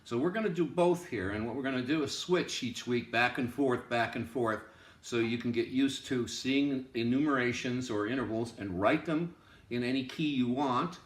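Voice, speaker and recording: A man's voice: medium pitch, normal speed. A man giving an informal music lesson as a teacher, explaining emphatically and repeating himself for stress. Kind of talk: informal instructional lecture. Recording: roomy, echoing room sound.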